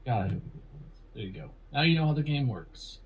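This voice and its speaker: A man's voice: medium pitch, animated, a little fast, music in the background, low-quality recording. A man hosting a comedy podcast, playful and sarcastic, rambling through his intro. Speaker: podcast host and judge.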